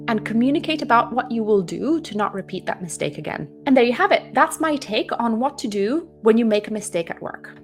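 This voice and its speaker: A woman whose first language is Italian, speaking English with an Italian accent, hosting a calm, instructive advice video. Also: on the high side. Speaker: video host. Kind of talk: scripted advice monologue. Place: lives in the UK.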